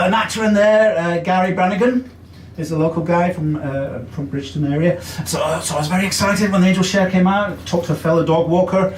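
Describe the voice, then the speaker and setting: An English man with a fairly high voice performs a stand-up set, chatty and self-deprecating, often pausing on little fillers. His voice rings around an echoey basement club and sounds a bit far from the mic. A faint room hum sits underneath. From England. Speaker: stand-up comedian.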